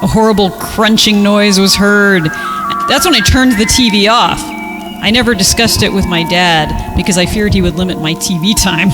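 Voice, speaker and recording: Woman reading stories over clear music. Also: mid-range voice, normal speed. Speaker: podcast story reader. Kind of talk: reading a story aloud with joking banter.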